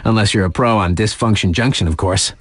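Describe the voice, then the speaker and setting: A man's voice: on the low side, brisk, breathy edge, no room sound, studio quality. An actor playing a laid-back, wry young male radio DJ for a snowboard game, with slangy, offhand, casual patter. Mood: upbeat, wry. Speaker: radio DJ on a ski mountain station.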